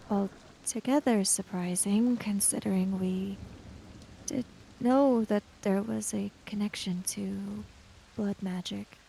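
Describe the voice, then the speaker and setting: A woman's voice: medium pitch, vocal fry, dark tone, slightly rough, full character voice, rough recording. A woman voicing a polite, hesitant young female investigator in a tabletop game, with careful, formal phrasing. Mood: uneasy.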